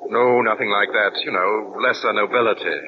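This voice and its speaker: An actor playing a polite, wealthy English gentleman in an old radio drama, speaking in a clipped upper-class English accent. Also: lowish voice, steady tone, normal speed, lightly acted. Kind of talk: 1940s radio acting. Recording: muffled old radio recording with heavy hiss.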